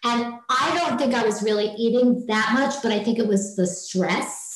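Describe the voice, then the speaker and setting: A woman's voice: a little high, moderate pace, reverberant, faint music behind, low-quality recording. A woman in her sixties hosting a casual interview, talking with effusive enthusiasm. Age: 60s. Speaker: podcast host.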